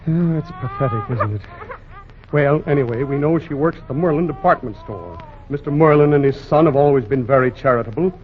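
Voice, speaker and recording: An actor playing a condescending, gently insistent children's home official in a 1940s radio comedy. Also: male voice, mid-range voice, a little fast, lightly acted. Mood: pitying. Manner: condescending, patient, soothing. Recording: muffled old radio broadcast.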